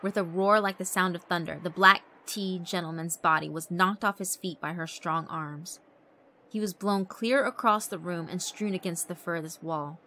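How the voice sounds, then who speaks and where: Bright-voiced woman narrating a dramatic story. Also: on the low side, moderate pace. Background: background music.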